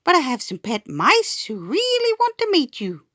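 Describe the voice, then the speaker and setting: A woman's voice: sits high, huge pitch swings, moderate pace, a bit bright, dry recording, studio quality, voice pushed up, exaggerated voice. A woman voicing a sweet, welcoming kindergarten teacher in a storybook read-aloud, cheery and encouraging. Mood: gently coaxing.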